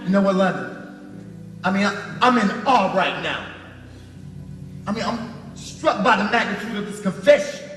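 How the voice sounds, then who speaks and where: A man's voice: sits high, very animated, fairly bright tone, full character voice. An actor playing a proud, bitter, self-made businessman in a stage play, scornful and emphatic as he confronts his ex-girlfriend. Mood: incredulous, outraged. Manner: theatrical, scornful, emphatic, drawn-out delivery. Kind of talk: gospel stage play acting. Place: stage in a large hall. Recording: echoey theatre hall with music underneath.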